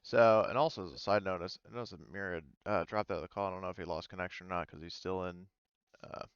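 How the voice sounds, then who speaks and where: Low, smooth-voiced man narrating haltingly. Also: no echo, highs cut off. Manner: halting, hesitant, pieces sentences together gradually. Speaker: game master. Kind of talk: improvised tabletop game narration. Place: online voice call.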